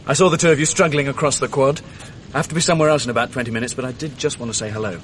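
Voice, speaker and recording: An actor playing a flamboyant, mischievous young student, confident and teasing, in a radio play. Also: male voice, mid-range voice, fairly even intonation, rapid-fire, a bit bright, slight background noise, natural voice. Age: young adult. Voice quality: confident, teasing, upper-class. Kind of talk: 1980s radio drama acting.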